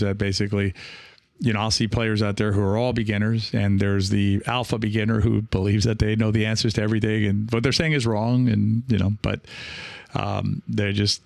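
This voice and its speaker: A man hosting a casual solo podcast, talking in a rambling, reassuring way with frequent pauses and check-ins. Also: lowish voice, vocal fry, no echo, studio quality. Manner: hesitant, rambling, reassuring, often checking in with "right?". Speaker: podcast host and experienced pickleball player. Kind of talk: casual solo podcast talk, reading and answering listener comments.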